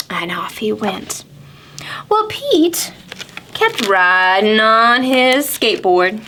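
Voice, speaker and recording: A woman reading a picture book for preschoolers as a storytime presenter, with deliberate phrasing and dramatic pauses. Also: medium pitch, bright, forceful tone, slight background noise.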